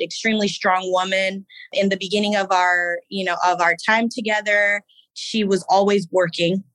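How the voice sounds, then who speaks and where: A woman in her thirties from Haiti, a podcast guest telling her story in an unhurried, reflective way with fillers. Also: mid-range voice, fairly bright tone, no room sound, low-quality recording. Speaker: guest, business owner and Navy veteran. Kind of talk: casual interview talk.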